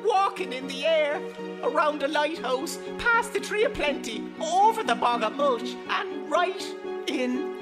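A little Irish leprechaun storyteller in a children's cartoon comedy. The voice is high and swoops around theatrically as it rambles on. Music plays clearly behind it. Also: female voice, lively intonation, measured pace. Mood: excited storytelling. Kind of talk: children's comedy character acting.

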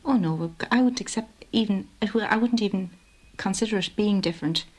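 A woman's voice: medium pitch, fairly quick, darkish tone. A woman talking about her family in a radio documentary interview, hesitant, with frequent fillers and restarts. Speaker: mother interviewed about raising bilingual children.

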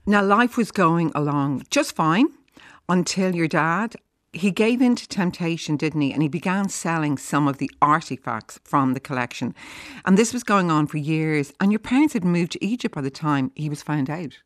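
Woman radio host, very low and lively pitch, direct interviewer, dry studio mic. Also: normal speed, no room sound. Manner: direct, leading questions.

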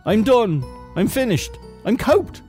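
A frightened, exhausted young labourer voiced by a male storyteller, pleading desperately in a folktale. His voice is pushed high and strained, with music playing behind. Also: sing-song, slow pace, a little mellow, heavily acted. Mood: despairing. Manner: crying out, stammering.

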